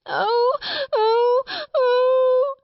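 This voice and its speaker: A woman voicing a spoiled very small girl in a storybook, howling in shrill wails. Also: fairly even intonation, very slow, no room sound, muffled recording, heavily acted. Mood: wailing.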